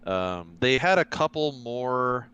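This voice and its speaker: Smooth-voiced man, hesitant recap. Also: medium pitch, animated, takes it slowly, bright, forceful tone. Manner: hesitant, filler-heavy, explanatory. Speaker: podcast co-host. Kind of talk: casual chat.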